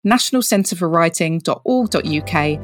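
A woman's voice: on the low side, lively intonation, normal speed, no echo, studio quality. A woman presenting a scripted podcast introduction. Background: music.

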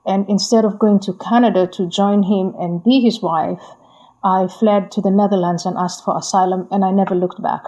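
A woman, a guest answering interview questions in a calm, deliberate way. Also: medium pitch, highs cut off. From Somalia.

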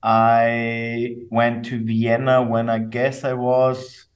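A young man from Austria, raised speaking English and German, answers questions thoughtfully and carefully, pausing and restarting as he goes. His voice sits at an average pitch for a man. He is picked up from a little way off the mic on what sounds like a remote call. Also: monotone, recorded from a distance. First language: German and English, bilingual. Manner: thoughtful, measured, with fillers and restarts. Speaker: company co-founder, guest. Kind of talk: casual interview answers.

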